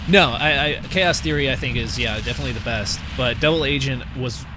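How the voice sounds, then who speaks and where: A man's voice: medium pitch, brisk, noisy background. A man casually chatting about video games with co-hosts while they watch gameplay, rambling with frequent ums. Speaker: game magazine staff host. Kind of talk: casual chat over gameplay.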